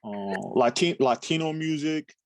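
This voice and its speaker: A man's voice: a little high, a little slow, dry recording. Rambling fifty-something man, dry close sound. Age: 50s. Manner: rambling, relaxed storytelling with many fillers and restarts. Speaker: talent manager and guest. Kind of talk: casual interview chat.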